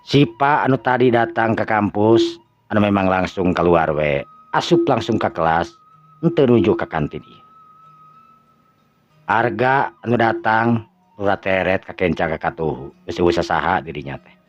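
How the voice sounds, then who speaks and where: A man's voice: low voice, lively intonation, moderate pace. A man with a Sundanese accent narrating a folk tale, drawn out, with stumbles. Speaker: storyteller. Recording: dull lo-fi audio with faint music.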